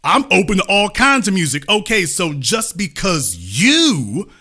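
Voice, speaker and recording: A man's voice: sits high, huge pitch swings, measured pace, sharp tone, breathy edge. A man hosting a commentary show, talking back at a clip in an emphatic, lecturing way and repeating his points for stress. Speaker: commentator host. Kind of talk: reacting to an interview clip.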